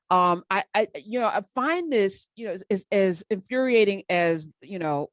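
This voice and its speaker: Animated female talk-show host, dry studio sound. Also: sits low, no room sound. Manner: animated, rambling, quick asides. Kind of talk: casual talk radio interview.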